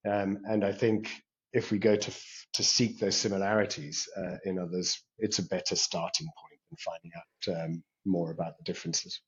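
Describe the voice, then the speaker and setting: English man, lowish voice, hesitant and warm in manner, many restarts, dull lo-fi call audio. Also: level tone, moderate pace, slightly rough. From England. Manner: thoughtful, many fillers and restarts. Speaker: podcast guest, career coach. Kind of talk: conversational interview.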